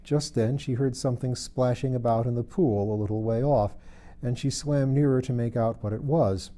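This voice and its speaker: A man's voice: medium pitch, normal speed, dark tone. A man reading a children's storybook aloud as scripted narration. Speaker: audiobook reader.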